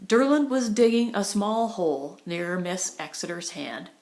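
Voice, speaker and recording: A woman's voice: medium pitch, animated, unhurried, darkish tone. A woman reading a novel as an audiobook narrator, in a scripted storytelling style. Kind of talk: scripted narration of a 1920s romantic comedy novel.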